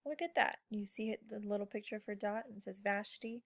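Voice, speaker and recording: A woman with an average-pitched voice speaks as an elementary teacher in an informal lesson. She addresses young children directly, guiding them with questions and short comments. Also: brisk.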